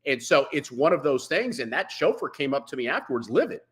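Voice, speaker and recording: A man's voice: medium pitch, big pitch swings, fairly quick. A man speaking as a veteran firefighter in a conversational interview, with a blunt, colloquial and emphatic manner. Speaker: veteran firefighter, podcast guest.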